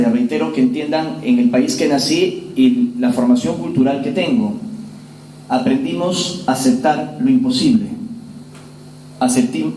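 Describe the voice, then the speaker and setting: A man in his forties from Peru giving a public lecture, telling his story to the audience in an earnest, measured way. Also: medium pitch, a little mellow, recorded from a distance, a little hiss or hum. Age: 40s. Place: lecture hall. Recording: roomy hall with faint ambience.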